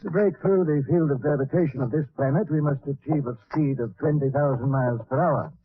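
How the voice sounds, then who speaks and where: A man's voice: on the high side, a little fast, husky, no echo. A man playing a reassuring professor who explains things precisely to a young space traveler in an old radio drama. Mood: helpful, instructive. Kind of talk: 1940s radio drama acting. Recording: tinny old radio recording with hiss.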